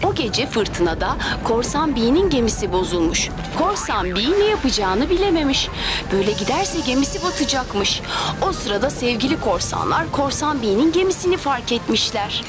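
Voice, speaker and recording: A woman voicing a gentle teacher in a cartoon, telling a children's story with expressive, clear phrasing. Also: high voice, normal speed, music in the background. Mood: gentle, storytelling.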